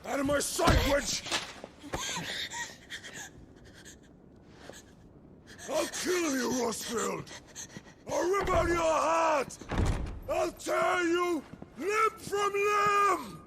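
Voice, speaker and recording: A wrathful warlord from a dramatic video game, his pride in tatters. His pitch sits high and leaps around, his delivery is slow and gravel-edged, veering between explosive and broken. Loud music plays beneath him. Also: expressive, heavy background noise, full character voice. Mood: enraged. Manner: explosive, swearing, swinging from roars to broken murmurs. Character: wrathful, wounded, obsessive male warlord with wrecked pride. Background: loud cinematic score.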